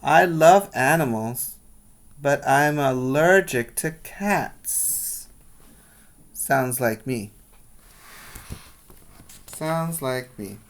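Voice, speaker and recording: A male preschool teacher reads a children's book at a slow, measured pace in a mid-range voice. He is instructional, adding simple explanations and questions for his young listeners. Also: mid-range voice, expressive. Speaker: preschool teacher reading aloud. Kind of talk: children's read-aloud with explanatory asides.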